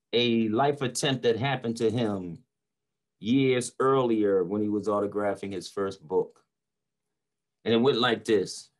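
Older American man chatting casually. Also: on the low side. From Philadelphia, United States. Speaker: motivational speaker, impersonator. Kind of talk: casual introduction to a talk. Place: small home office, indoors. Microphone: webcam video call.

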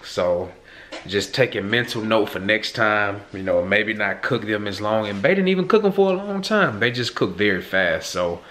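A man's voice: on the low side, animated, normal speed, a bit bright. A man in his thirties hosting a casual cooking vlog at home, chatting to viewers in laid-back slang. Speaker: vlog host. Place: home kitchen and living room, indoors. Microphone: handheld camera at arm's length.

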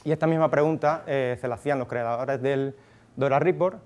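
Cuban man giving a relaxed tech talk. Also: medium pitch, a little fast. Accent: Cuban Spanish. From Cuba. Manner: casual, thinks aloud with many fillers and restarts. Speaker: conference speaker. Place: conference room, audience present. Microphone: handheld microphone.

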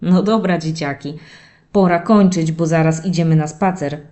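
A woman voicing a playful young male terrier puppy who tells stories from his diary to children in an expressive, dramatic way. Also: deep voice, natural voice. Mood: playful, warm. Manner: expressive, drawn-out dramatic telling addressed to children. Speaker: narrator of a children's audio story series. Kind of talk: animated first-person children's storytelling.

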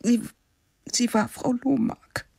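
A woman narrating a literary radio play in a slow, measured, spare voice that often sinks to a whisper. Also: on the high side, fairly even intonation, gravelly, dark tone, slightly rough, no room sound, studio quality.

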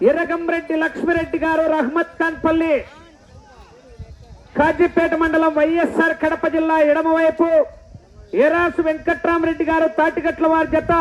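A man doing live race commentary as an announcer, calling out loudly in a declamatory way with rallying cries. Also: very high-pitched, highs cut off. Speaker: announcer at a bull-pulling race.

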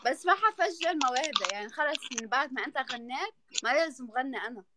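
A woman's voice: high-pitched, expressive, quick pace, background noise. A young woman with a Levantine accent, chatting casually on a phone video call. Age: young adult. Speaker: video chat guest. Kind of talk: casual chat. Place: dark room indoors.

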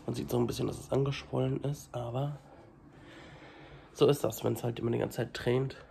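A young man vlogging casually at home with a cold, talking haltingly with many fillers into a phone held at arm's length. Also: mid-range voice, flat delivery, gravelly, mellow, slight background noise. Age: young adult. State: stuffy with a cold, coughing. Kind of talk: casual daily vlog to camera. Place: small home kitchen and flat indoors. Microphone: handheld phone at arm's length.

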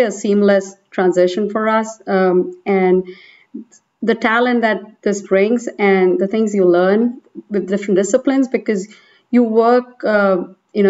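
A woman's voice: medium pitch, darkish tone. Woman panelist, fluent and explanatory, muffled call sound. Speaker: panelist, planner. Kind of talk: casual panel talk. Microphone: video call.

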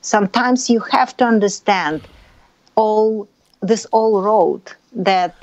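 A woman's voice: mid-range voice, slow, a little mellow, no echo. A woman in her thirties from Russia, with a Russian accent, speaking frank, eager, slightly broken English as an interview guest over a video call. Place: indoor room at home. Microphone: earbud headset mic on a video call. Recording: dry, slightly dull call audio.

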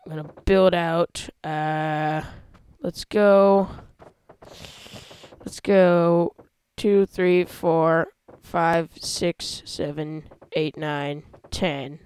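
A man hosting a casual gameplay video, talking through how he builds a vehicle, with excited yelps when he drives it. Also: a little high, drawn-out words, clean tone. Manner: chatty, explains while building, excited yelps when driving. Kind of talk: casual let's-play commentary.

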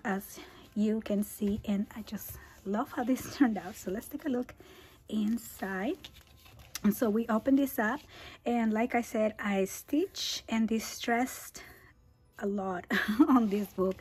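A woman who is losing her voice talks through a handmade album at her craft table. Her tone is husky and breathy and often drops into a whisper. She keeps it casual and chatty, with small laughs between phrases. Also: medium pitch, a little mellow. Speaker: scrapbooking channel host. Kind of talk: craft tutorial walkthrough.

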